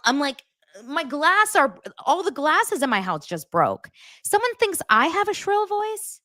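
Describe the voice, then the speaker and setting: Animated gossipy woman host. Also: sits high, measured pace, dry recording, clean studio sound. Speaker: host of a daily pop culture show. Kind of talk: casual chat to camera.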